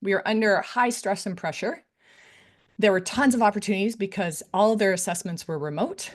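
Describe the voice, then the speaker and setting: A woman's voice: mid-range voice, a little fast. A woman presenting to faculty in a conversational style, with frequent fillers and "right?" tag questions. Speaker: academic integrity director giving a faculty talk. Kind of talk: conference presentation.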